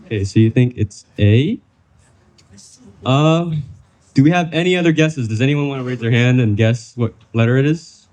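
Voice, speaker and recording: A young man from Vietnam presents to a live audience at a workshop. His voice sits at an ordinary middle pitch. He is chatty and keeps coaxing the listeners to make a guess. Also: moderate pace, darkish tone. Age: young adult. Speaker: workshop speaker.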